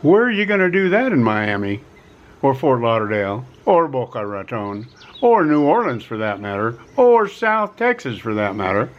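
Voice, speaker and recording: Middle-aged man, folksy vlog pitch, emphatic and repetitive, mid-pitched voice, slightly dull recording. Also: sing-song, moderate pace. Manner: folksy, repeats himself, emphatic rises on key words. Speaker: vlogger offering relocation advice. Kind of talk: casual to-camera vlog pitch. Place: quiet rural home.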